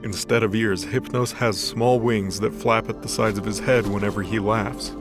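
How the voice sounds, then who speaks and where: Male narrator, lowish voice, scripted dramatic storytelling, clear music bed. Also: fairly even intonation, moderate pace. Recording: voice over background music.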